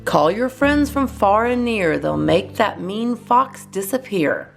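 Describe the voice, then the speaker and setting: A woman's voice: mid-range voice, lively intonation, takes it slowly, creaky, soft background music, voice pushed up. A woman voicing a playful, silly young boy owl in a children's storybook, eager and sing-song.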